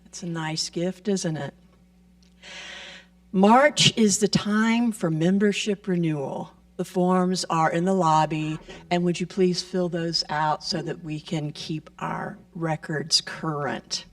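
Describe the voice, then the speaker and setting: A woman making gentle announcements and blessings during a church service. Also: on the low side, fairly even intonation. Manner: slow, gentle, blessing-style delivery. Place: church sanctuary. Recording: voice picked up from a distance.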